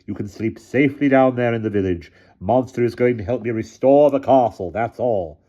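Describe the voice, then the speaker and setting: A man's voice: on the low side, animated, a little slow, mellow, lo-fi sound, voice pushed up. Man voicing a stern, defiant storybook aunt. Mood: firm, insistent. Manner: firm, declamatory. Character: bold, stern, defiant aunt addressing an angry mob.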